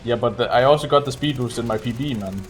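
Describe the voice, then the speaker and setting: A man's voice: medium pitch, fast talker, soft background music. A man giving casual, chatty livestream commentary while speedrunning a game, swearing now and then. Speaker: speedrunner streaming gameplay.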